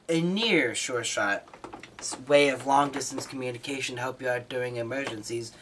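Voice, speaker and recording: An American man giving an informal, explanatory hobbyist talk, somewhat halting, with repeated words as he explains. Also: medium pitch, a bit bright, a little hiss or hum. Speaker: hobbyist presenter.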